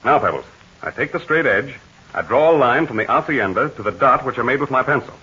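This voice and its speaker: An actor playing a calm heroic pilot who patiently explains and gives orders, in 1940s radio acting on a dull, hissy vintage recording. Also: male voice, medium pitch, brisk, husky, heavily acted. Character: calm, steady adult male hero pilot.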